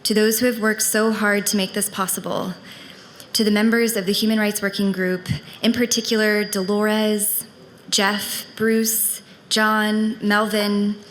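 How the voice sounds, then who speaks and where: A woman with a mid-range voice reads a prepared speech to a congregation, measured and steady. A faint hiss and room ambience sit behind her. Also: medium pitch, long reverb tail, low-quality recording. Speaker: congregation member speaker. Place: congregation meeting hall.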